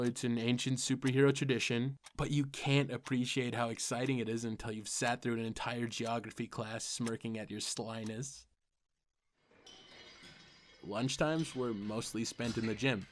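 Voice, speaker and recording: A man's voice: medium pitch, close to an everyday voice. A man playing an earnest, wry teenage comic fan turned amateur superhero, narrating a comic dub in a confessional way. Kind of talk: comic dub, first-person narration and dialogue.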